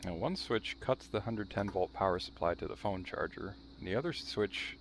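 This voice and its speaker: A man's voice: fairly low pitch, expressive, moderate pace, a little hiss or hum. A man narrating a how-to demonstration in a dry, matter-of-fact, wry way. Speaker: video host showing a restored vintage radio.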